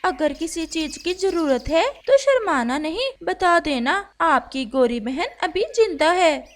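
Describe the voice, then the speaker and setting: A fiery female crow from a children's cartoon, voiced by a woman. Her voice is high and very animated, with a bossy, scolding edge. She sounds like the fearless protector of the flock. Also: a little slow, a little hiss or hum, exaggerated voice. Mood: proud, caring. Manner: scolding, commanding, blustery. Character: bold, protective, hot-tempered female crow. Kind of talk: animated children's story dialogue.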